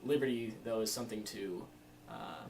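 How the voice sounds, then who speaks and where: Man, early twenties, American, lowish pitch, rambling and reflective, informal interview, distant mic. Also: steady tone, normal speed. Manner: rambling, hesitant, reflective, peppered with "you know". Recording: distant mic, faint room noise.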